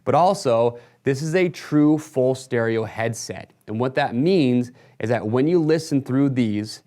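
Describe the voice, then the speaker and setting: A man's voice: mid-range voice, unhurried, warm tone. A man presenting a retail product walkthrough in a casual, explanatory sales manner.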